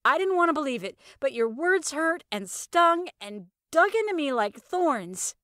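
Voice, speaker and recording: A woman playing an excitable, sarcastic young heroine in audio fiction, with emphatic theatrical delivery. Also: high voice, a little slow, no room sound, studio quality, voice pushed up, exaggerated voice. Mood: hurt, defiant. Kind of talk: first-person audio fiction narration with acted dialogue.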